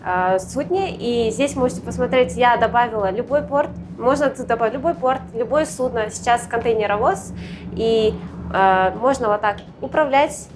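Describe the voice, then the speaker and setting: A woman's voice: a little high, normal speed, background noise. A woman working as an instructor explains her work to the camera in short, simple phrases with small hesitations. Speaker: maritime academy simulator instructor. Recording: music behind the voice. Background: clear background music.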